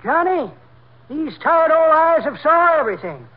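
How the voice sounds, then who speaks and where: A man's voice: big pitch swings, normal speed. A man playing a talkative old-timer who spins boastful tall tales in folksy, ungrammatical speech on a 1940s radio comedy. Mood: folksy boasting. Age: older. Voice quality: high, aged-sounding character voice. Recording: dull, noisy 1940s radio recording.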